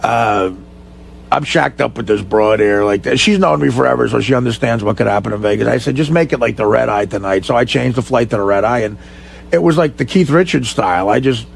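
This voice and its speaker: A man telling bawdy stories as a radio guest in a casual, halting, profane and joking way. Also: medium pitch, vocal fry, a little hiss or hum.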